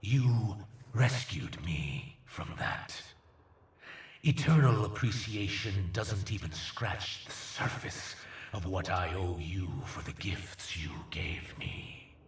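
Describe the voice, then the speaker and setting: A ruthless alien conqueror speaks with grave, grandiose devotion in a theatrical game monologue. The voice is gravelly and breathy, swinging widely in pitch, and sounds distant in an echoing space. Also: male voice, lively intonation, full character voice. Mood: reverent devotion. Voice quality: breathy, gravelly low rasp. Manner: grandiose, solemn, measured, reverent. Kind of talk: slow theatrical dramatic monologue in a video game. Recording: echoing, distant, faint noisy ambience.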